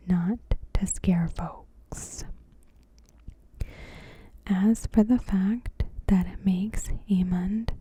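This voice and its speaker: A woman whispering softly in an ASMR style, reading scripted commentary in a hushed, unhurried, breathy voice. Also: medium pitch, a little hiss or hum. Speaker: ASMR host.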